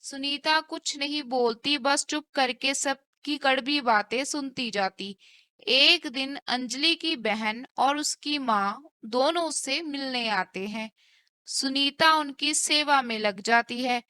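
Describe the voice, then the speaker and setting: A woman's voice: high voice, takes it slowly, sharp tone, no echo. A woman narrating a scripted cartoon story.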